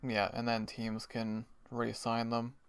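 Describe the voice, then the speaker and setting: This is a Canadian man talking casually through a live hockey game for his viewers. His voice is smooth and clear, recorded close and dry with almost no room sound. He explains things plainly and chuckles here and there. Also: mid-range voice, completely flat delivery, takes it slowly, no echo. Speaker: hockey watch party streamer. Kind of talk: casual live-stream chat.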